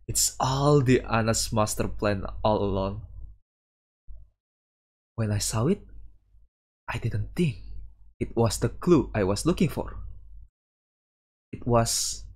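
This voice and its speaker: Husky, slow-paced man reading aloud. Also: mid-range voice, expressive, a little mellow. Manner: dramatic pauses, slow storybook-like reading broken by reactions. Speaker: VTuber streamer reading a visual novel aloud. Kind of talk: reading aloud with reactions in a let's play.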